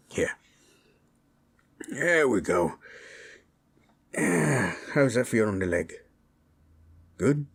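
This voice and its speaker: A man playing a big, gentle male orc, a former war boss, in an intimate, soothing audio roleplay with folksy, reassuring speech. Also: low voice, slightly rough, exaggerated voice. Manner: folksy, nonstandard grammar, reassuring murmur.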